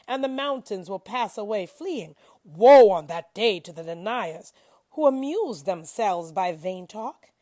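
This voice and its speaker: A woman reading religious scripture aloud expressively, with measured pauses and dramatic emphasis. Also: mid-range voice, mellow, no echo. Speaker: reader of scripture.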